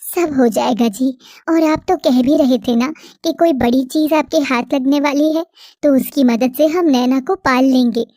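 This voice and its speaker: A doting young village wife from a cartoon story, as a woman puts her on, coaxing and affectionate. Her voice is high and soft. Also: unhurried.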